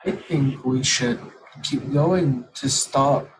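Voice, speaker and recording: A woman's voice: very deep voice, slow, a little hiss or hum, poor audio. A young woman chatting casually as a player in a tabletop game.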